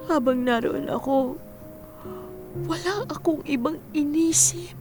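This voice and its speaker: Sickly middle-aged mom in radio drama, soft-hearted and pleading, talks really slow, kinda high voice. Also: female voice. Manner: pleading, hesitant. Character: ailing 45-year-old mother, tender and pleading.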